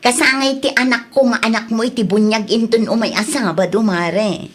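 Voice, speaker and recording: A woman's voice: fairly high pitch, steady tone, normal speed, natural voice. A woman with an Ilocano accent acting an insistent, spendthrift young mother in an audio drama. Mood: excited.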